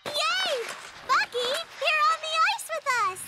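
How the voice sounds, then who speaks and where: A woman voicing a friendly, childlike little animal in an animated cartoon, with an upbeat manner. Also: very high-pitched, measured pace, over loud music. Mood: delighted.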